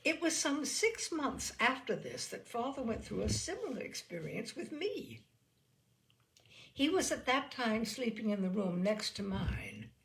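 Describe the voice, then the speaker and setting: A woman's voice: on the high side, vocal fry, low-quality recording. A woman reading a humorous short story aloud as narrator, with theatrical, emphatic phrasing.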